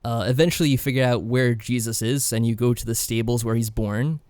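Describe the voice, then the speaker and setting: A man's voice: mid-range voice, fairly even intonation, brisk, darkish tone, smooth, no echo. A man co-hosting a podcast, casually recapping a game in a wry, tongue-in-cheek way. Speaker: podcast co-host.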